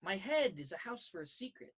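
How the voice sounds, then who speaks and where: A woman reads a children's picture book aloud. Her pitch moves in a lively, expressive way through a sing-song rhythm. The voice has a husky, slightly rough edge. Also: fairly quick. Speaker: story reader. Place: indoors in a children's library.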